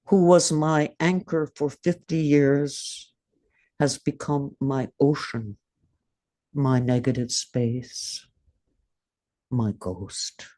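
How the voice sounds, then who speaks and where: An older woman reading her own poem over a video call, soft and halting. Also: low voice, no room sound. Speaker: poet reading her work. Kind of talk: poetry reading and casual chat.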